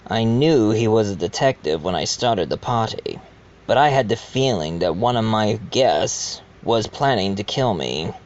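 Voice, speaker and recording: A man's voice: fairly low pitch, animated, measured pace. A man playing the wry ghost of a murdered doctor who chats to the listener about his own murder in an amateur audio drama, on a muffled recording.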